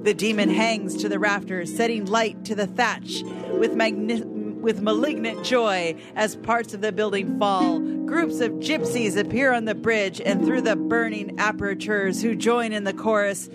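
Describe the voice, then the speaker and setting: A woman reading stage directions as the narrator of a radio theatre melodrama, in a scripted dramatic style. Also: medium pitch.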